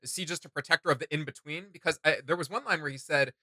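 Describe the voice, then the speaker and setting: A man's voice: fairly high pitch, fast, bright, forceful tone, no room sound, clean studio sound. A man hosting a reaction video, chatting casually about game lore in an emphatic, rambling, questioning way. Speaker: reaction channel host. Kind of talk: casual chat, discussing game character lore.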